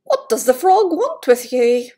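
A woman's voice: high voice, big pitch swings, unhurried, dark tone, husky, exaggerated voice. Stern storybook king, voiced by a woman. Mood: firm. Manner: firm, admonishing. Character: stern older king, a principled father.

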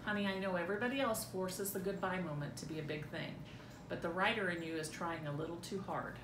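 A middle-aged woman doing a dramatic read-through of a script as a mother, recorded indoors at home. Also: quick pace. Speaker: amateur reader in a family script reading. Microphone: camera a few feet away. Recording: roomy echo, clear low rumbling noise.

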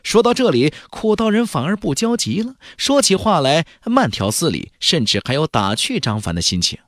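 A man's voice: fairly high pitch, big pitch swings, normal speed, a bit bright, no echo, studio quality. A man narrating a fantasy novel as a dramatic scripted audiobook.

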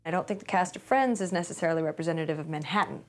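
A woman's voice: fairly low pitch, very fast, soft background music. A woman speaking in a thoughtful, conversational way during an interview in an indoor studio. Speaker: actor in interview. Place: indoor studio against a backdrop.

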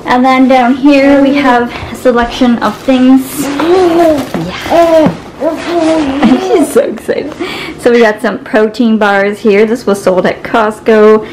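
A woman's voice: a little high, a little mellow, a little hiss or hum, rough recording. A young woman from Canada in her twenties, chatting casually through a grocery haul in her home kitchen, upbeat and listing items as she goes. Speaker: vlogger. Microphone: camera mic, handheld filming.

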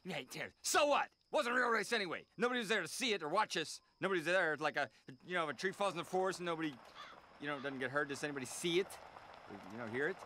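A man playing a boastful, snide rival race car in an animated video game, rambling and sneering. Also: fairly high pitch, quick pace, slight background noise. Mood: defensive, dismissive.

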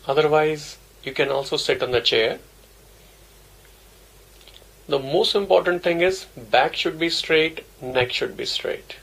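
A man's voice: fairly high pitch, gravelly, a bit bright, slightly rough, rough recording. A man teaching a guided meditation, giving simple instructions in short, repeated phrases. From India.

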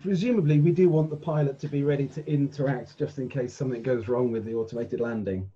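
A man with a husky voice chatting casually as a player in a tabletop game, wordy and a little hesitant, over muffled call audio. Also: medium pitch, quick pace, mellow. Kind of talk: casual in-character game chat. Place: indoors, on a group video call.

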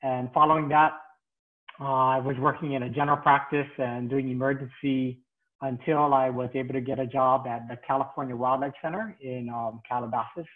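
A man's voice: mid-range voice, steady tone, brisk. A middle-aged man from Hawaii, a field veterinarian presenting over a video call, hesitant with many fillers. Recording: tinny phone-like call audio.

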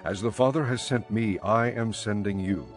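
A calm, gentle risen teacher speaks in a low, measured voice, as a man puts him on. Each phrase is solemn and unhurried. Soft music sits faintly behind. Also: natural voice. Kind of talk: dramatized scripture reading.

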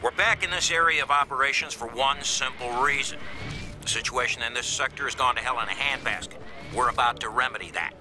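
An actor as a hard-edged military commander. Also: male voice, mid-range voice, music in the background, close to an everyday voice, poor audio. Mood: firm, resolute. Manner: brisk, authoritative, hard-edged. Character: gruff, confident military commander briefing his troops. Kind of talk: scripted mission briefing.

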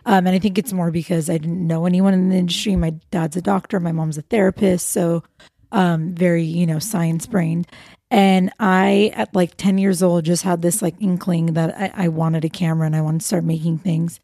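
A woman's voice: on the low side, steady tone, normal speed, mellow, dry recording, clean studio sound. A woman film producer from Los Angeles, chatting eagerly as a podcast guest, with many fillers and restarts. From Los Angeles, USA. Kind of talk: casual interview chat.

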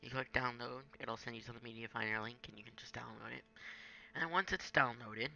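A man's voice: mid-range voice, fairly quick, gravelly, sharp tone, highs cut off. A young man giving a casual, improvised screen-recorded tutorial in an offhand way. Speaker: gaming tutorial maker. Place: indoors at a home computer.

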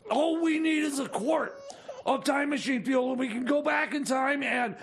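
A hapless mechanic for a radio comedy. The voice is extremely high for a man and full of gravelly creak. He stammers and whines in exasperation as he goes. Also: moderate pace. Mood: hapless, scheming. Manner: stammering, whiny complaining. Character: exasperated, hapless male time machine mechanic, scheming for cash. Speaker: comic sidekick on a radio show.

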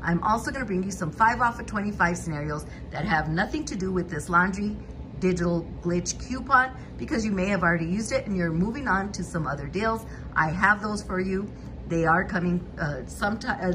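A woman's voice: mid-range voice, noisy background. A woman hosting a couponing channel, walking through store deals in a methodical voice-over, price by price.

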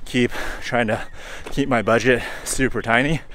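A man in his forties, hot and sweaty, talking casually to a handheld camera while hiking outdoors, relaxed and rambling with fillers. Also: mid-range voice, moderate pace, a little hiss or hum. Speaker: hiking vlogger. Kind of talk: casual vlog talk to camera. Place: outdoors on a forest trail. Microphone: handheld camera held at arm's length.

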